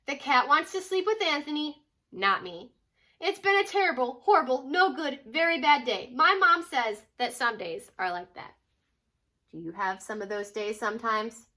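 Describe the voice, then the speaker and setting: A woman's voice: high voice, animated, moderate pace, sharp tone. A woman reading a children's picture book aloud as a teacher would, expressively and with dramatic emphasis on key words.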